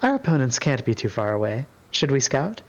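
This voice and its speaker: A man's voice: medium pitch, very animated, brisk, lo-fi sound. A man voicing a polite, earnest young goddess with a formal, courteous manner and a touch of steel. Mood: guileless.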